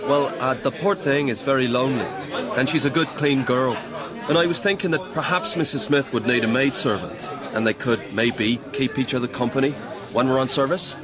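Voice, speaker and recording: A man's voice: mid-range voice, moderate pace, close to an everyday voice. A man playing a deferential, kindly soldier servant in a radio drama, hesitant as he asks favours. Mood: deferential, coaxing. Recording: crowd chatter behind.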